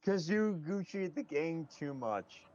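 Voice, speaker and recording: A man's voice: fairly high pitch, lively intonation, slow, darkish tone, highs cut off, slight background noise. A man chatting casually with friends over gaming voice chat, sweary and exasperated.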